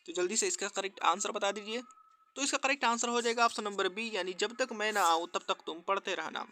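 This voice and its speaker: A man's voice: high-pitched, sharp tone, clear, resonant tone. A man working through exam practice questions as a teacher, with an instructional, formulaic question-and-answer delivery. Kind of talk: scripted quiz walkthrough lesson.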